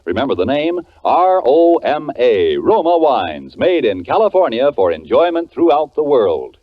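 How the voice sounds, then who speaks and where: A man's voice: medium pitch, big pitch swings, a little slow. A man announcing a commercial in 1940s radio style with a polished, declamatory sales delivery. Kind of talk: 1940s radio advertising read. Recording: muffled 1940s radio broadcast.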